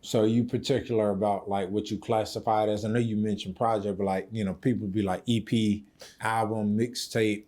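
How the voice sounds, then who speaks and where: A man's voice: on the low side, flat delivery, moderate pace, a little mellow. A man hosting a podcast, chatting casually and affirming his guest often. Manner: laid-back, frequent affirmations. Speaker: podcast host. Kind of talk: casual interview chat.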